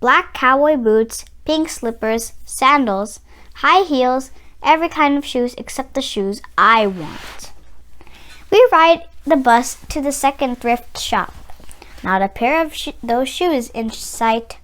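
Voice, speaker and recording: A woman with a high-pitched voice reads a storybook aloud for children. She takes her time over each phrase, swinging widely up and down in pitch. Her delivery is deliberate and expressive. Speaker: children's read-aloud channel host. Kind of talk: slow, expressive picture-book read-aloud for children.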